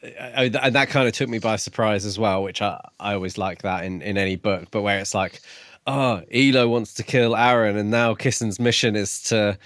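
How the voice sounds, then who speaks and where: A man's voice: on the low side, normal speed, fairly bright tone, no room sound, studio quality. A man co-hosting a podcast, discussing a book casually and thoughtfully, feeling out his ideas as he goes. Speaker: podcast co-host.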